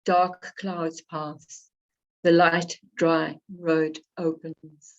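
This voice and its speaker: A woman from Africa, slightly hoarse from a cold, reading her poems and introducing them in a reflective, unhurried way. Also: low voice, little pitch movement. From South Africa. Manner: unhurried, reflective, pausing between phrases. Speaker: poet. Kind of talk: poetry reading with spoken introductions. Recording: dull lo-fi recording.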